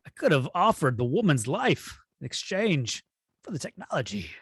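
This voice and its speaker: A man playing an imperious, cold alien supervillain in a script read, husky and pompous, with drawn-out, menacing delivery. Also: a little high, very animated, fairly bright tone, dry recording, clean studio sound, exaggerated voice. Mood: gloating menace. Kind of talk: amateur table read of a film script.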